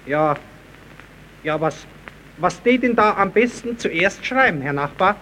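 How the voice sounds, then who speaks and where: An actor playing a cheeky, slow-witted slapstick puppet clown, stubborn and mock-naive, in a 1930s German radio comedy. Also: male voice, high voice, animated, sharp tone, slight background noise, heavily acted, low-quality recording. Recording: old 1930s radio recording.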